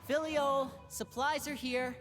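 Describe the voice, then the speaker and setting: A woman's voice: sits high, fairly even intonation, slow, fairly bright tone, faint music behind. A woman voicing a composed, dutiful young man in a video game, earnest and polite as they explain things.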